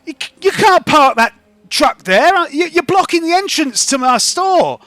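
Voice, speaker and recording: A man's voice: very high voice, sing-song, a little slow, pushed and bright, dry recording, pitched above the performer's own voice, full character voice. A man voicing an aggressive, sweary, matey shopkeeper in a tabletop game. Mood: angry, indignant. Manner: indignant, blustering, sweary. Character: aggressive, foul-mouthed male shopkeeper, shouting and matey.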